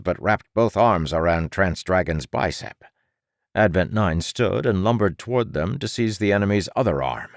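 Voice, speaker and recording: Deep, dramatic male audiobook narrator. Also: vocal fry, no room sound, studio quality.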